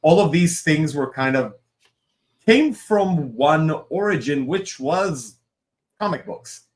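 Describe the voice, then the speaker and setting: A man's voice: fairly high pitch, lively intonation, slow. Man chatting in an interview. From Israel. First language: Hebrew. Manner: rambling, many fillers and asides, upbeat. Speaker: guest, creative director and comic writer. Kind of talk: casual interview chat.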